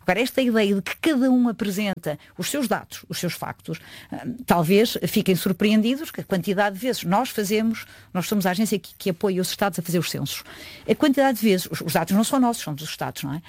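A woman's voice: mid-range voice, animated, quick pace, a little mellow, dry recording. Earnest woman in her fifties, dry sound. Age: 50s. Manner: earnest, emphatic, frequent hesitations. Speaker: UN agency official, guest. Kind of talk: conversational interview answers.

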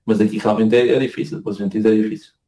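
Male, European Portuguese accent. Very quick, relaxed timbre, chatty anecdotal delivery with repeated words. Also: fairly low pitch. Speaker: interview guest, emigrant living in Argentina. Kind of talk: casual anecdotal chat.

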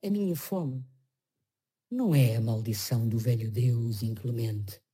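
An actress reading a poem aloud in a grave, measured recitation. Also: female voice, a bass voice, warm tone, breathy edge. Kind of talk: slow poetry recitation.

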